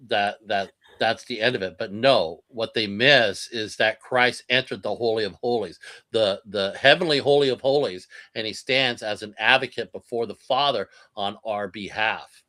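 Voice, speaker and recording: A man's voice: fairly low pitch, measured pace, bright, forceful tone. A man explaining religious doctrine in an earnest, emphatic way on a livestream discussion. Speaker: co-host.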